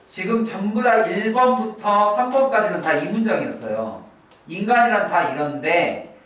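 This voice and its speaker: A middle-aged male English teacher giving an exam-prep lecture to high school students, emphatic and lively, dropping to low asides. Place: academy classroom. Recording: echoey classroom from a distance.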